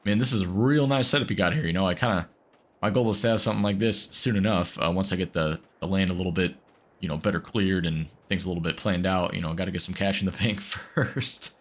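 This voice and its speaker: Low-voiced rambling gamer man with a cold. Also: fast. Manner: rambling, filler-heavy, chatty. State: getting over a cold. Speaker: gaming video host. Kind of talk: casual gameplay commentary.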